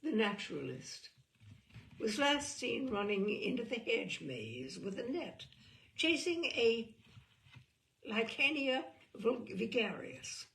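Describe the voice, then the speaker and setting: A woman reading a darkly comic story in a theatrical, deliberate, dramatic manner. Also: on the high side, big pitch swings, gravelly, a bit bright, echoey room. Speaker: storyteller, reader.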